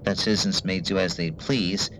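An actor playing a prim, officious bureaucrat in a radio play, formal and pedantic with stiff politeness. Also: male voice, low-pitched, fairly even intonation, normal speed, background noise, lightly acted. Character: prim, officious male bureaucrat running a facility's intercom service.

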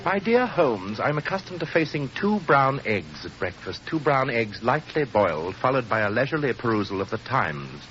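An actor playing a pompous, contemptuous English aristocrat in a 1940s radio drama. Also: male voice, medium pitch, big pitch swings, normal speed, hardly any pauses, music in the background, lightly acted. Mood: haughty disdain. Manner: pompous, disdainful, drawling insults. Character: haughty, insulting English aristocrat, fussy and contemptuous. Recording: muffled, hissy vintage radio recording.

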